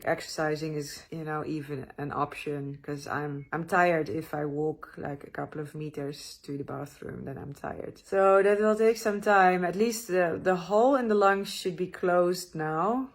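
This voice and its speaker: Breathless woman vlogger. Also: lowish voice. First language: Dutch. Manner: tired, hesitant, frequent restarts. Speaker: travel vlogger. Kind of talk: casual talk to camera.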